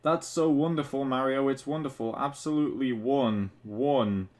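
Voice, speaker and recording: A man's voice: medium pitch, normal speed, a little mellow, close to an everyday voice. A man voicing a sweet, greedy video game princess in a story reading. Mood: delighted.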